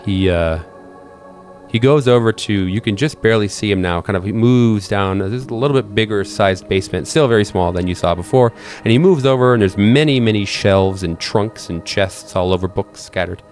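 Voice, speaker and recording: A man narrating an improvised tabletop game as game master, feeling out his storytelling in hesitant, measured phrases. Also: on the low side, a little mellow, faint music behind.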